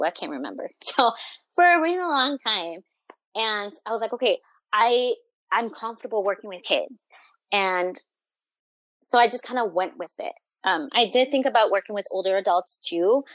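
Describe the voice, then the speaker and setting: A woman's voice: mid-range voice, a bit bright, no echo. A young adult woman talking casually as an interview guest, in an informal, hesitant, meandering and self-reflective way. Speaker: family therapist, interview guest.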